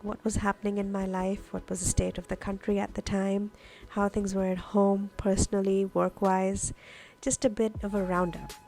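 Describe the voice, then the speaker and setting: A woman who grew up speaking Urdu narrates a craft process in a casual, explanatory way. Her medium-pitched voice has a noticeable gravelly creak. Music plays behind her voice-over. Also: level tone, normal speed, darkish tone. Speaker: journaling vlogger, host.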